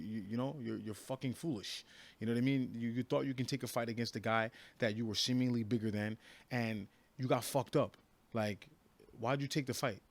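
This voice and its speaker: A man in his thirties from New York, a professional fighter giving a casual interview, talking brashly and confidently with many repeated restarts. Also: medium pitch. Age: 30s.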